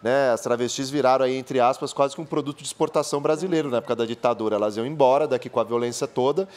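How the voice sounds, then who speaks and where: A man moderates a talk on stage at a book fair. His voice sits at a typical middle pitch. He explains points in a steady, measured way and relays the audience's questions. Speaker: interviewer and columnist.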